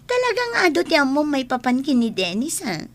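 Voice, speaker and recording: Fussy mother with very animated, high voice. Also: measured pace, a little hiss or hum, heavily acted. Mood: disapproving. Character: fussy, disapproving mother afraid of illness. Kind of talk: radio drama acting.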